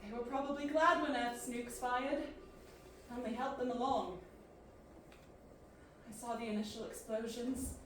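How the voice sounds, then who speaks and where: A woman's voice: a little high, steady tone, measured pace, long pauses, close to an everyday voice. A woman playing an anguished young clone who believes she is human, in an audio drama with both dialogue and narration. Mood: somber narration. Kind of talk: audio drama acting and first-person narration. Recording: very echoey, distant mic, noisy.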